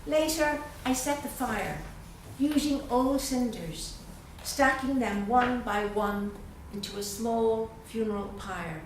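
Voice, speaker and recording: A middle-aged Irish woman from Dublin reading her poems slowly and with pauses at a literary evening, chatting hesitantly between them. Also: on the high side, slight background noise. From Dublin, Ireland. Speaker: guest poet. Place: event room at a literary gathering. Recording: very echoey room, voice far off.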